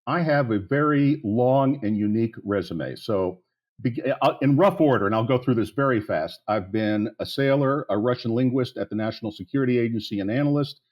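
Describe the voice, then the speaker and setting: A man's voice: mid-range voice, expressive, brisk, darkish tone. A man in his sixties from the Pittsburgh area, speaking as a guest on a podcast interview in a blunt, candid way that rambles with many restarts. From United States, Pittsburgh area. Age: 60s.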